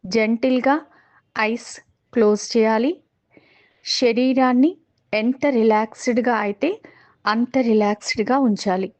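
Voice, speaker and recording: A woman with a Telugu accent guiding a meditation in a calm, measured way, pausing between short phrases. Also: a little high. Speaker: meditation guide. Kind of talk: guided meditation instruction.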